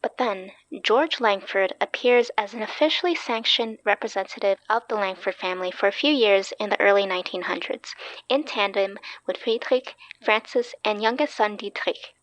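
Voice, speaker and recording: A woman's voice: medium pitch, moderate pace, dry recording, lo-fi sound, close to an everyday voice. A woman playing a keen, wry young researcher in a horror audio drama, explaining things casually. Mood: eager, wry.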